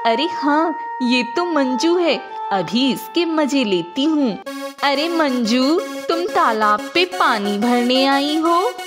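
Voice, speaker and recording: A woman's voice: sits high, lively intonation, slow pace, over music. A woman voicing a gossipy village woman who teases with false sweetness in a cartoon story. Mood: gleeful. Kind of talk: Hindi moral-story cartoon dub.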